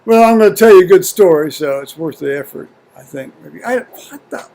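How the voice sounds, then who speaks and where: An American man video blogging at home in a halting, folksy, rambling way, muttering to himself and reading to viewers. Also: sits high, expressive, vocal fry, a little mellow. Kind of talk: rambling casual chat with scripture reading. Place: at home, indoors.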